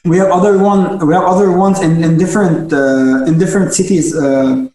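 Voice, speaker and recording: A young man from Lebanon, a doctor, answering interview questions over a video call, halting and restarting his sentences. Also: high-pitched, steady tone, dark tone, echoey room, poor audio. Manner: halting, frequent restarts and stammers. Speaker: guest doctor.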